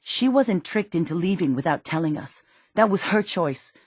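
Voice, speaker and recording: An actress playing a worried, protective middle-aged mother in a post-apocalyptic video game, speaking earnestly and emotionally, with halting phrases. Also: lowish voice, expressive, husky, no echo, clean studio sound. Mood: worried, reflective. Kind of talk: dramatic video game voice acting.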